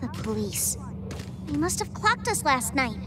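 A woman's voice: high voice, very animated, a little slow, heavy background noise. A woman voicing a scrappy nine-year-old orphan girl, childlike and breathless, in a game cutscene. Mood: alarmed.

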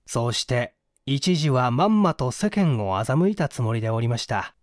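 A man narrating a mystery story as a first-person confession, in a measured, formal literary delivery. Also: mid-range voice, sing-song, dry recording, clean studio sound. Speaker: audiobook narrator.

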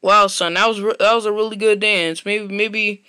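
A man's voice: sits high, fairly even intonation, moderate pace, pushed and bright, clear, resonant tone. A man voicing a clueless, mocking adoptive father in a game roleplay.

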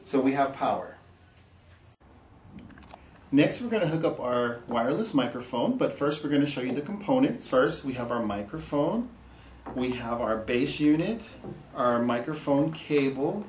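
A man's voice: medium pitch, expressive, unhurried. Male instructor, forties, plain step-by-step demo delivery, distant mic in a reverberant meeting room. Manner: plain, methodical step-by-step explaining. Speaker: instructor for an equipment rental company. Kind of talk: hands-on equipment tutorial. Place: small indoor meeting room. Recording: roomy echo, distant mic, background hiss.

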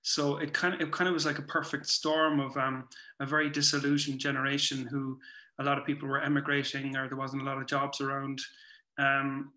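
A man with a Cork Irish accent talks casually and reflectively, halting and rephrasing as he goes. His voice is bright and fairly level in pitch. It comes over a video call from a roomy indoor space. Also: little pitch movement. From Cork, Ireland. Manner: halting, circling back to rephrase. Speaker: guest, playwright and performer. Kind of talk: casual reflective interview chat. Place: small room at home, indoors. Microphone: computer mic on a video call.

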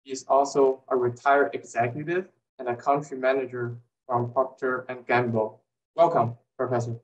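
A man's voice: medium pitch, little pitch movement, moderate pace, distant mic, faint music behind. A young man hosting an interview over a video call, polite and a little hesitant when he asks his questions. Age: young adult. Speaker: host. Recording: echoey room, off-mic.

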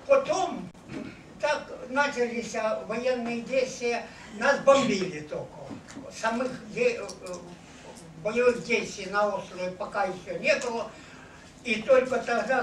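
A man in his eighties or older, a veteran recounting his memories to an audience, haltingly and searching for words. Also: sits high, fairly bright tone. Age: over 80. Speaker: war veteran giving testimony. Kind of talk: unscripted personal recollection to an audience. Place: meeting hall with seated audience. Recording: echoey hall, noisy, distant camera mic.

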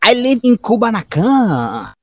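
A man's voice: sits high, huge pitch swings, a little slow, a bit bright, no room sound, lo-fi sound. A young man chatting playfully as an English student on a headset over a video call, laughing a lot. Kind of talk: casual chat on a video call.

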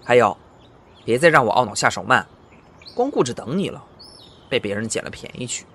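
A man's voice: mid-range voice, very animated, brisk, creaky, pushed and bright, full character voice. Male actor as patient, teasing young suitor, very varied intonation, radio play with faint ambient noise. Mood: teasing, coaxing. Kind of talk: audio drama acting.

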